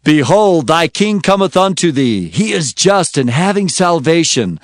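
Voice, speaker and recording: An actor playing a fervent biblical prophet, declaiming solemn oracles in a dramatized scripture reading. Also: male voice, on the high side, big pitch swings, a little slow, dry recording, studio quality. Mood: exultant, fervent. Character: fervent male Old Testament prophet proclaiming oracles. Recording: music behind the voice.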